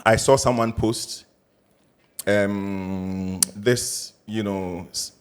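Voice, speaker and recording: A man's voice: fairly low pitch, steady tone, drawn-out words, smooth. A man, co-hosting a podcast in playful, rambling casual chat. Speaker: podcast co-host.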